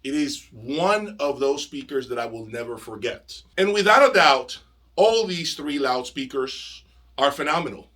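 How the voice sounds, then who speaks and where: A man hosting an enthusiastic video review, speaking emphatically with dramatic pauses. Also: mid-range voice, lively intonation, pushed and bright. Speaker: audio review channel host. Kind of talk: enthusiastic product review presentation to camera.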